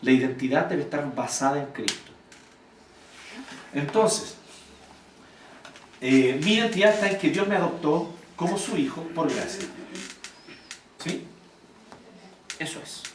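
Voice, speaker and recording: A Chilean man teaches a church group in a blunt, animated way, keeping people engaged with questions. His voice sits high and swings widely in pitch. It is heard from a distance in an echoey room with a faint noise behind it. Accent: Chilean Spanish. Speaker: church speaker teaching volunteers. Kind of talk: informal church teaching talk with audience questions.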